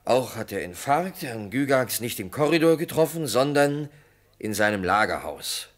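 An actor playing a guilt-struck salesman in a radio play, confessing earnestly in a halting, often hushed way. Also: medium pitch, big pitch swings, natural voice. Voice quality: husky. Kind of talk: German radio play acting.

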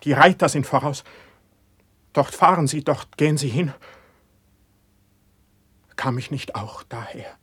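An actor playing an anguished, distrustful poet on the edge of madness, declaiming classical verse in a radio play. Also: male voice, on the high side, a little slow, long pauses, fairly bright tone, slightly rough, no echo, exaggerated voice. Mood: despairing. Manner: declamatory, lamenting, impassioned.

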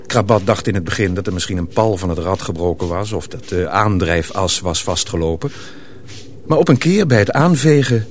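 A man narrates a story for a radio play in a very low voice. His delivery is measured yet highly expressive in pitch, with faint noise and ambience behind him.

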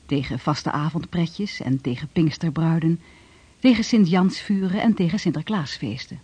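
Narrator, formal and measured, mellow husky tone, dull dry recording. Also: medium pitch. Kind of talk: scripted documentary narration.

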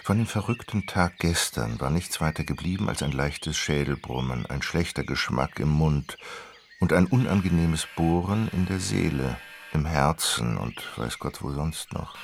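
Narrator for radio drama: man with a very low, gravelly voice, animated literary storytelling, slight background noise. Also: normal speed.

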